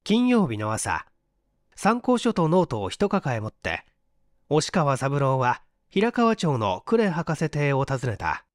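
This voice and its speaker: A man's voice: a little high, wildly swooping pitch, slow pace, no room sound, studio quality. A man reading a literary story as a scripted audiobook narration. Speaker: audiobook narrator and stage actor.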